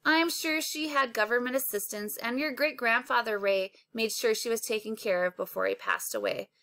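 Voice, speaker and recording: A woman's voice: on the high side, normal speed, fairly bright tone, no echo. A woman voicing a proud, folksy mother selling farm eggs in a children's storybook read-aloud. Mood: matter-of-fact.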